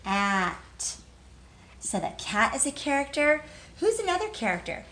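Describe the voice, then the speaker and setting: A woman's voice: high voice, very animated, measured pace, pushed and bright, low-quality recording. A woman teacher leading a read-aloud lesson for young children in simple, encouraging language, asking questions and answering them herself.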